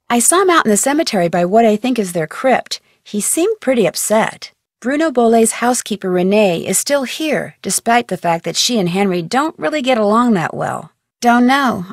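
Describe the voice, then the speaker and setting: A curious young detective girl from a mystery video game, brisk and a little wry. Her voice sits fairly high, recorded close and dry in a studio booth with no room sound. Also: no echo. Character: young female amateur detective, curious and plucky. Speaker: game character, teen detective.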